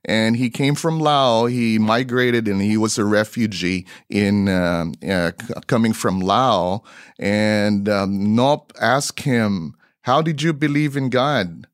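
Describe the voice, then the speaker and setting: Middle-aged man, Filipino accent, lowish voice, dry close sound, conversational storytelling, halting phrasing. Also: dry recording. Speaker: guest missionary broadcaster.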